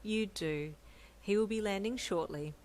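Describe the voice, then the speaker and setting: A woman voicing a cheerful, helpful male chief editor who talks in a bright, upbeat way. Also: medium pitch, measured pace, lightly acted.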